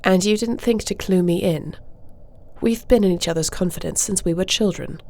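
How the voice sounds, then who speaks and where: A woman playing a formal, dutiful dragon-shifter princess in an audio roleplay, speaking in a measured, regal and diplomatic manner. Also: medium pitch, darkish tone, natural voice. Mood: wry, familiar. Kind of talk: scripted audio roleplay acting.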